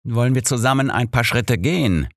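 A man voicing a brisk, impatient, probing elderly detective in an audiobook. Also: mid-range voice, lively intonation, no room sound, studio quality, voice pushed up, close to an everyday voice.

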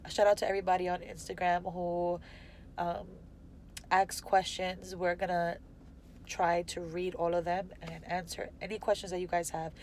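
A woman's voice: lowish voice, little pitch movement, normal speed, darkish tone, slight background noise. Congested woman talking in a car. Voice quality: congested, nasal. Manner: rambling, candid, lots of "like". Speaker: vlogger. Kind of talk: unscripted personal vlog. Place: parked car, indoors. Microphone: phone camera at arm's length.

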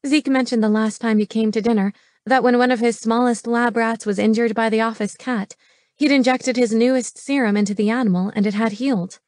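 A woman voicing a worried, gentle female friend in an audiobook, tentative and earnest. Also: fairly high pitch, fairly even intonation, brisk, no room sound, clean studio sound, natural voice. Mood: hopeful, earnest.

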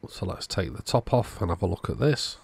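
Male repair-channel host, low creaky voice, casual step-by-step narration, dry close sound. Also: lively intonation, a little fast, a little mellow.

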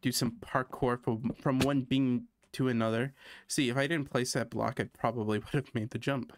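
A man's voice: mid-range voice, fairly even intonation, moderate pace, a little hiss or hum. A man from Canada chatting casually while streaming a building game, thinking aloud and poking fun at himself. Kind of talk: casual gameplay commentary.

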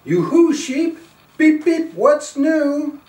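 An older man, around seventy, reading a children's picture book aloud in an expressive, singsong and playful way. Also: very high voice. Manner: slow, singsong, playful calls with quieter asides. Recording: roomy, a little far from the mic.

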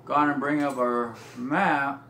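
A man walking through a driving game, talking in a relaxed, rambling way. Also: mid-range voice, measured pace, a little hiss or hum. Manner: relaxed, rambling, drawled, says y'all. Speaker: gameplay tips narrator. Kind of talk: casual gameplay walkthrough. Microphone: phone filming a TV screen. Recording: game music playing behind.

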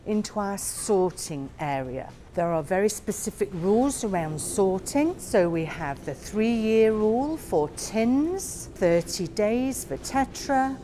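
A woman guiding a warehouse tour, explaining each area in a slow, plain way. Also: mid-range voice, lively intonation, dark tone, a little hiss or hum. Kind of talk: guided tour narration.

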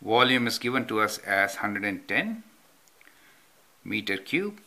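A man's voice: on the low side, very animated, unhurried, sharp tone. A man explaining a math problem step by step as he writes it out, often checking in with "right?". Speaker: math teacher. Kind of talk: whiteboard math tutorial. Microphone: off-camera voice over handwritten notes.

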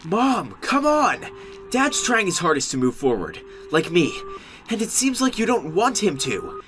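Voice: mid-range voice, very animated, background noise. A performer voicing a young male goat monster, earnest and haunted by guilt, in an amateur comic dub. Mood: angry, anguished. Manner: earnest, emotionally volatile, halting when ashamed.

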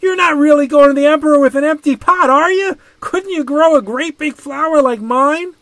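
A man's voice: very high voice, moderate pace, a bit bright, no room sound, voice pushed up. A man voicing a boastful, incredulous young boy in a children's storybook. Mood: teasing, incredulous. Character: clever, boastful young boy with a big plant. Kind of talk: children's storybook read-aloud.

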